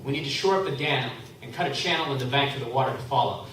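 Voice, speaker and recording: A man playing a blunt, practical traveling tinker in a live radio play, breaking into loud angry shouts. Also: medium pitch, fast talker, natural voice. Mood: urgent. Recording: echoey hall from far off, background noise.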